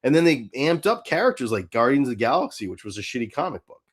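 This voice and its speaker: A man co-hosting a podcast in casual fan chat, halting and interrupting himself, with some mild swearing. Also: medium pitch, very animated. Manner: halting, self-interrupting, mildly profane. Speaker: podcast co-host.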